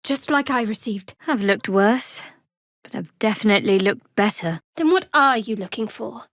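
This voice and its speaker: A woman playing a guarded, wry young cleric of a dark goddess in a video game, speaking in a dry, measured, sardonic way. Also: fairly high pitch, lively intonation, no echo.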